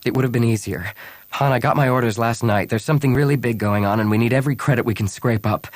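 A man's voice: mid-range voice, quick pace, dry recording, lightly acted. A man voicing a determined rebel woman in an audiobook, speaking in an earnest, insistent way. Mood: apologetic, insistent.

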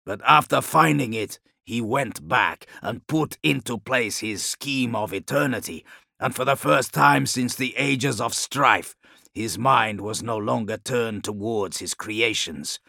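A man playing a grave, proud warlord khan in an audiobook, contemplative, with measured and formal phrasing. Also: mid-range voice, creaky, pushed and bright, no room sound, studio quality, heavily acted. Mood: pondering.

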